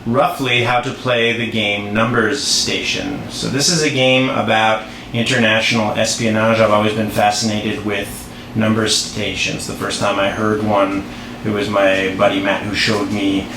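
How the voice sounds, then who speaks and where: A man's voice: on the low side, flat delivery, a little slow. A man presenting an informal, unscripted board game tutorial, rambling and easily distracted, breaking off into asides. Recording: roomy echo, clear background noise.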